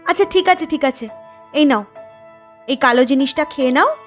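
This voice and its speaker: A woman's voice: sits high, moderate pace, lo-fi sound, soft background music, lightly acted. A woman voicing a bold young village woman in a cartoon, who speaks firmly and scolds. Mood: scolding. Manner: firm, scolding. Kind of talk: cartoon voice acting.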